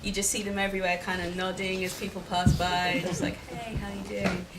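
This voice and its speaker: A woman's voice: mid-range voice, little pitch movement, fairly quick, heavy background noise. A woman children's book author answering questions at a live event, chatty and friendly, with lots of fillers as she explains. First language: Igbo. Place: event venue with an audience.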